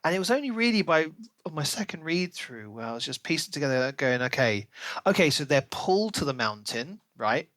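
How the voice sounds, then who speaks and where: Higher-voiced man chatting casually, dry sound. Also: sing-song, a little fast, a bit bright, no echo. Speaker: podcast co-host reviewing a book. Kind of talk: casual book discussion.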